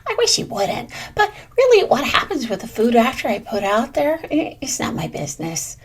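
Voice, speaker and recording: A woman voicing a calm, generous female squirrel who speaks softly and mildly. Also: on the high side, moderate pace, breathy edge, full character voice. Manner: soft, mild, unbothered.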